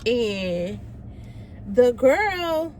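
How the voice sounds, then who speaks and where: A woman's voice: fairly high pitch, big pitch swings, extremely slow, a little mellow, a little hiss or hum. A woman in her twenties, from Texas, talking casually to viewers in a vlog, filmed on a phone in her car. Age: 20s. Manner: chatty, addresses viewers as y'all. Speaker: vlogger. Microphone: phone held at arm's length.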